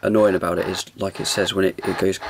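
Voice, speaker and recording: A man with a lowish, quite monotone voice gives a casual hands-on review. He talks in an informal, low-key way. There is clear noise in the recording. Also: fast talker. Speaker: amateur radio reviewer.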